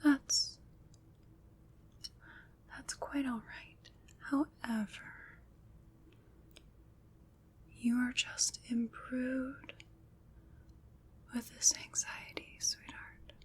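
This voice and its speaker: A woman playing a gentle, caring witch in an ASMR roleplay, speaking in a breathy whisper with soothing, reassuring pauses. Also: high voice, fairly even intonation. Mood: soothing, concerned. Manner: slow, soothing, reassuring, pausing between short phrases. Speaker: ASMR performer.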